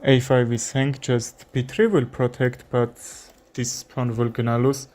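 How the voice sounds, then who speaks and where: A young man with an Armenian accent commentating his own chess game, thinking aloud with frequent fillers and pauses. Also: mid-range voice, little pitch movement, a little mellow. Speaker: chess streamer. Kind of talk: live commentary while playing chess.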